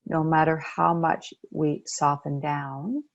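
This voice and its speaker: Low female voice, guided meditation, gentle pauses, dull dry recording. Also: level tone, vocal fry, dry recording. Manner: gentle, unhurried, pausing between phrases. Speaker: meditation coach.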